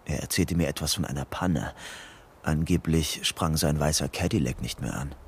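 A man's voice: rumbling low, normal speed, slight background noise. An actor playing a wary, troubled young man on the run in a crime radio drama, brooding and sardonic as he tells his story. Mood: brooding, narrating. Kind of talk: German crime radio drama, narration and dialogue.

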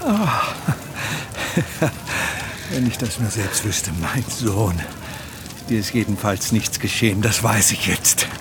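An actor playing a tender, fatherly older man in a German radio drama, speaking haltingly. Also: lowish voice, expressive, noisy background. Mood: relieved, weary.

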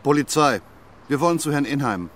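An actor playing a brisk, persistent police detective with short, probing questions in a German radio drama. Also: male voice, on the high side, lively intonation, far from the mic, background noise, lightly acted. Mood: demanding.

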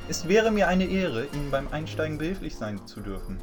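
A man's voice: on the high side, very animated, brisk, over music. Man as a pompous, over-polite chauffeur, swooping delivery. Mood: pompously courteous. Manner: pompous, formal, wordy. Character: stiff, over-polite British chauffeur, long-winded and servile. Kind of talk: parody audio drama acting.

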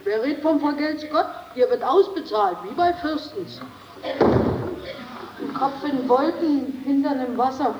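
An actress playing a plucky, sharp-tongued young maid in projected 1950s German stage style, on an echoey, noisy old tape. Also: female voice, high voice, slow pace, recorded from a distance, highs cut off. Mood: indignant, haggling.

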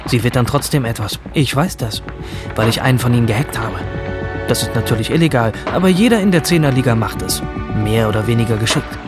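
A man's voice: medium pitch, animated, brisk, lightly acted. An actor playing an obsessive, rebellious male hacker from a virtual future world, narrating a radio drama in the first person. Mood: fixated, secretive. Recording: music under the voice.

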